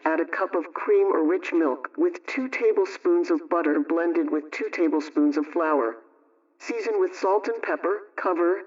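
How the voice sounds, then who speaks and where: A man reading an old household radio script aloud in the first person, in an even, unhurried way. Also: a little high, far from the mic. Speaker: reader. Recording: dull lo-fi sound, away from the mic.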